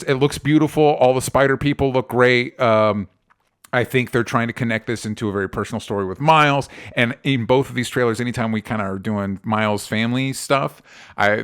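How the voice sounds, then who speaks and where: A man with a medium-pitched voice talks casually, as a podcast co-host would. He feels his way through his opinions, often breaking off and rewording as he goes. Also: fairly bright tone, clean tone. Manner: hesitant, self-correcting, circles back mid-sentence. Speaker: podcast co-host reviewing movie trailers. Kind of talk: casual movie chat.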